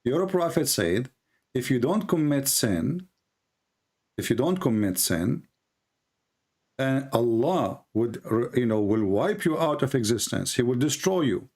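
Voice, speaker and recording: A man's voice: medium pitch, big pitch swings, measured pace, a little mellow. A man whose first language is Arabic, hosting an argumentative live debate in non-native English, insistently repeating his phrases. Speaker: host, religious debater.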